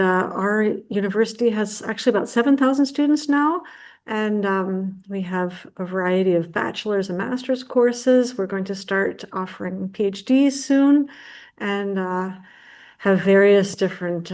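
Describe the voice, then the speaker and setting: An American woman professor presenting a degree program over a video call, chatty and joking lightly. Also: medium pitch. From United States. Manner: chatty, jokes and laughs lightly. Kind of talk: online info session presentation.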